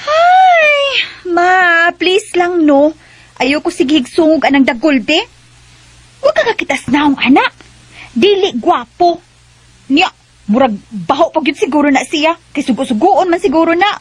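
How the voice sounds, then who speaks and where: A woman's voice: high-pitched, very animated, unhurried, dry recording. An actress playing a fussy, boy-crazy young woman in a radio drama, with an exaggerated girlish, whiny manner. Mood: whiny, haughty.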